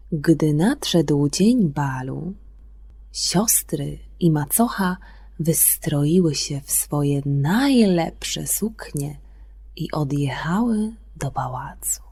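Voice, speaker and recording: A woman telling a children's fairy tale as scripted narration, expressive and gentle, with deliberate phrasing. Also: low-pitched, husky. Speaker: storyteller on a children's radio programme.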